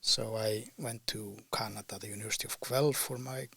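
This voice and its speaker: A man's voice: on the low side, fairly even intonation, a little fast, gravelly, sharp tone, breathy edge, dry recording. An older man with an Icelandic accent, a guest in a podcast interview, talking about his career thoughtfully with frequent fillers and restarts. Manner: thoughtful, hesitant with many fillers. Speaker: guest ecologist. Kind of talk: relaxed interview talk.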